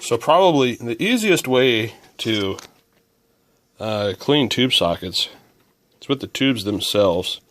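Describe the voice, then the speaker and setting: A man's voice: medium pitch, expressive, slow, fairly bright tone, a little hiss or hum. A man giving a casual hands-on repair demonstration, musing and thinking aloud as he works. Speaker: radio repair hobbyist presenter.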